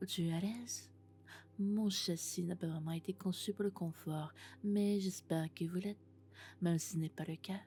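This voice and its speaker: A woman playing a friendly, doting female robot in an ASMR roleplay, speaking gently and often in whispers. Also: on the low side, natural voice. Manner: gentle, reassuring, alternating soft speech and whispers. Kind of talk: French ASMR roleplay, soft-spoken and whispered.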